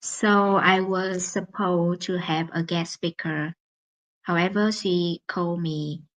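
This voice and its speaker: A woman with a Vietnamese accent, a native Vietnamese speaker hosting a casual solo live stream in English, halting and searching for words with many pauses. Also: on the low side, fairly even intonation, fairly bright tone, rough recording.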